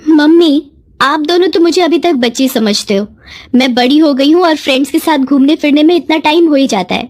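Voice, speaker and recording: Woman acting spoiled young daughter, high pitch, scornful, defiant, haughty complaining, storybook drama. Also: brisk, lightly acted. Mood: irritated, defiant.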